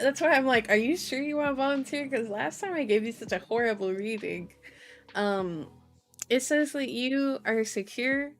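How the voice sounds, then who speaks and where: A woman chatting casually and playfully in an ASMR style, giggly, with silly repeated words and sounds, reading fortunes for viewers. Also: a little high. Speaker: streamer reading horoscopes and tarot for chat viewers. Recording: dry close recording over faint music.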